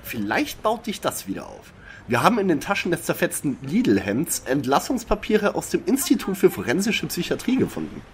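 A man's voice: a little high, huge pitch swings, normal speed, slight background noise. A man playing a practical, eager and wry young detective sidekick in a parody audio drama. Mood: brisk, practical.

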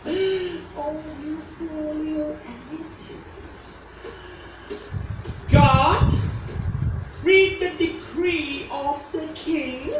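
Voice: sits high, extremely slow, long pauses, noisy background. An actor playing a vain, scheming royal official in a stage play, theatrical, menacing and gloating. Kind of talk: live stage play acting. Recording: echoey stage from far off, loud music. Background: loud music.

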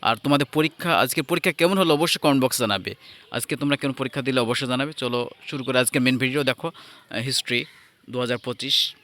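A man giving an informal lesson as an exam tutor, halting and repeating himself as he checks in with listeners. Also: medium pitch, a bit bright. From India. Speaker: exam tutor presenting answer keys.

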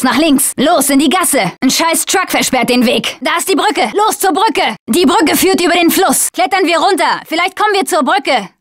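Tough young zombie survivor girl, video game dub, high voice, dry close recording, wry and clipped. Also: dry recording. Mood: alert. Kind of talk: German video game dub, short combat callouts.

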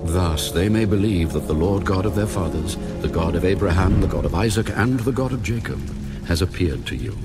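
An actor voicing God as a grave, commanding deity in a dramatized audio Bible, speaking with deliberate authority. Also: male voice, low voice, close to an everyday voice. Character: God, commanding, solemn, all-powerful male deity. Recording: dramatic music under the voice.

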